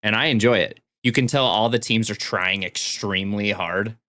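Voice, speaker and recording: A man with a fairly low voice talks casually as a podcast co-host. He is close to the microphone, with a dry sound free of room echo. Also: normal speed, bright, forceful tone. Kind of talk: casual chat.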